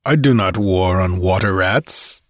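A man voicing a terse, wary, fierce male barbarian warrior with a curt, gruff way of speaking, in an audiobook story. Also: lowish voice, a little slow, no room sound, studio quality, natural voice. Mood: stern, wary. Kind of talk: audiobook character acting.

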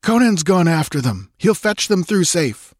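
A man reading a story and voicing a resolute young frontiersman giving hurried, firm warnings. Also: sits high, sing-song, dry recording, clean studio sound, pitched above the performer's own voice, exaggerated voice. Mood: urgent.

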